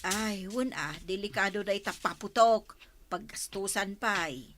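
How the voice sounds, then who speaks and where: A woman's voice: mid-range voice, a little slow, a bit bright, a little hiss or hum, lightly acted. A woman playing a chatty, teasing village housewife in a conversational radio drama.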